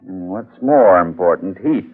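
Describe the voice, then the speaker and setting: An actor playing a courteous, cautious museum professor in a 1940s radio drama, genial and explanatory. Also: male voice, low voice, lively intonation, a little slow, breathy edge, exaggerated voice. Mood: genial, hospitable. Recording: thin, lo-fi old radio broadcast.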